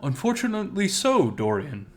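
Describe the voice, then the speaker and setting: Visual novel wolf boyfriend, performed by a male narrator: affectionate, teasing, pitched up, music underneath. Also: huge pitch swings, moderate pace. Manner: playful, affectionate.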